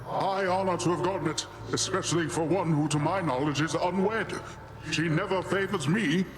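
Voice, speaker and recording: A man's voice: a little high, moderate pace, a crowd in the background, natural voice. A man acting a boastful, goofy middle-aged sea god uncle in a video game, with a grand, jovial theatrical delivery. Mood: proud, admiring.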